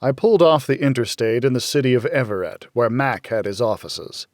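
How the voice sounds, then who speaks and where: A man's voice: medium pitch, brisk, dry recording, clean studio sound. An American man reading scripted first-person noir thriller narration as an audiobook narrator.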